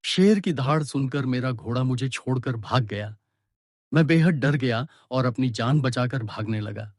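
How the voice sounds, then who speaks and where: A man's voice: medium pitch, animated, moderate pace, a little mellow, close to an everyday voice. A man playing a troubled young prince under a curse in a cartoon story, speaking earnestly. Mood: weary, confessing. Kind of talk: Hindi animated moral story dialogue.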